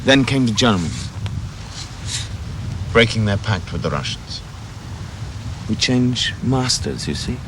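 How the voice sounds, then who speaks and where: An actor playing a weary Ukrainian soldier and former prisoner of war, speaking with a Ukrainian accent in a slow, grave way. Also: male voice, on the low side, sing-song. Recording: hissy background noise.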